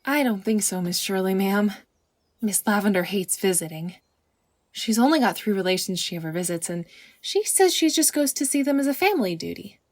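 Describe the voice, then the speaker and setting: A woman's voice: mid-range voice, animated, normal speed, no room sound, clean studio sound, lightly acted. A woman voicing an anxious, devoted young maidservant girl in an audiobook, with an earnest, deferential, folksy rural way of talking. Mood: glum, earnest. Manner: rustic folksy dialect, deferential, breathlessly earnest. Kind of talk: audiobook character acting.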